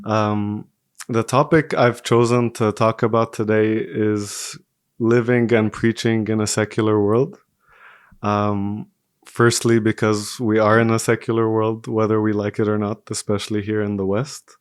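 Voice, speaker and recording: Young guy from singapore chatting as a podcast guest, clear smooth voice, pretty even tone. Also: lowish voice, unhurried, dry recording, studio quality. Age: 20s. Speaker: podcast guest, medical student. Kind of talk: casual conversational interview.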